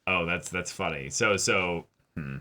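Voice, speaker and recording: Male reaction-show co-host, lower-pitched with a bright, punchy edge, in casual discussion. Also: measured pace. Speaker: co-host of an anime reaction channel. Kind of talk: casual discussion of an anime episode.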